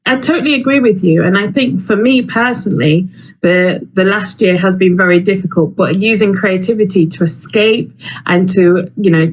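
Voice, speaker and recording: A woman co-hosting a live online event from home in a casual, chatty style. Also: on the low side. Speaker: co-host. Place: at home on a video call.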